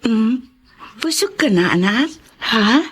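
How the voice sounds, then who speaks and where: A woman's voice: a little high, animated, takes it slowly, a bit bright, slightly rough, full character voice. A woman playing an overwhelmed, bitter young Filipino mother in a radio drama. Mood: subdued, gentle. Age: young adult. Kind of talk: Tagalog radio drama acting.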